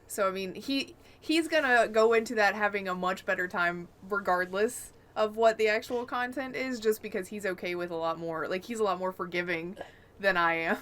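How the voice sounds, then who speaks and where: A woman's voice: medium pitch, moderate pace, fairly bright tone. A woman co-hosting a podcast, giving frank opinions in casual group chat. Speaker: podcast co-host.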